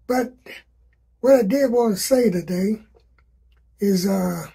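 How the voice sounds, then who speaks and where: Hoarse gardener, slow and folksy, very husky mellow voice, talks to viewers outdoors. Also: male voice, sits high. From South Carolina. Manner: slow, folksy, repeats sayings to drive a point home. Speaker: gardening vlogger. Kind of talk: casual advice to viewers.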